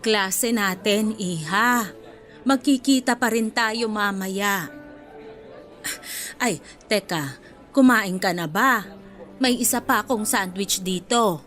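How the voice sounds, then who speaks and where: A woman playing a kindly, apologetic older student in a radio drama, speaking in a gentle, motherly and patient way. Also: mid-range voice, slow, long pauses. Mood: motherly, caring. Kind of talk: radio drama acting in Filipino. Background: music bed.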